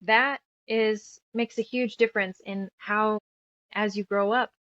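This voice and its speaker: Interview guest: woman from New Mexico, mid-range voice, earnest and halting, close dry sound. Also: mid-range voice, fairly even intonation, fairly bright tone, dry recording, highs cut off. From New Mexico, United States. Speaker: interview guest, author and radio show producer. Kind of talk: casual interview conversation, personal reflection.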